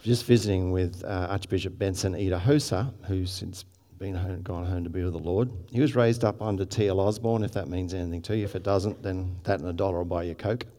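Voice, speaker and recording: A man's voice: low-pitched, steady tone, fairly quick, warm tone, in a large hall. A man with an Australian accent preaching a sermon, chatty with many restarts and asides to the congregation. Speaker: pastor.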